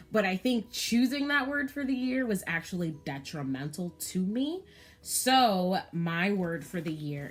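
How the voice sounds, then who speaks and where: A woman in her thirties chatting candidly to camera about planning, with frequent ums and you-knows. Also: low voice. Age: 30s. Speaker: planner vlogger. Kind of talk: casual chat to camera.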